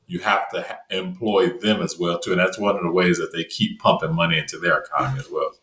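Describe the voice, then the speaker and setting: A man's voice: sits low, fast talker, reverberant. A man from the United States chatting casually as a podcast guest, loose and conversational with lots of fillers. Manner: relaxed, conversational, many fillers like "you know". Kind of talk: casual interview chat.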